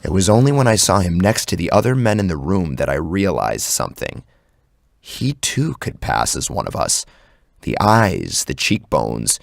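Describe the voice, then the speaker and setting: A man's voice: deep voice, big pitch swings, moderate pace, creaky, no echo. A man narrating a scripted first-person horror story dramatically, close on the microphone. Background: background music.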